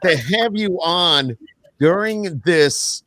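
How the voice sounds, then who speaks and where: A man's voice: high voice, slow pace, bright, forceful tone, no room sound. An American man hosting a podcast, talking casually and with effusive enthusiasm. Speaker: podcast host.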